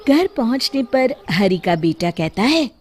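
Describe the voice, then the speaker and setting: Woman reading a kids story, really swoopy expressive voice, bit of background noise. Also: a little high, unhurried. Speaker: storyteller narrator. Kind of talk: scripted children's story narration.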